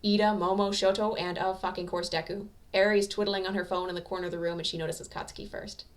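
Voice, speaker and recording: A woman reads a fan-fiction story aloud as an amateur narrator. Her voice sits in a middle pitch range, and she delivers scripted prose in a storytelling style. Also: level tone, fast.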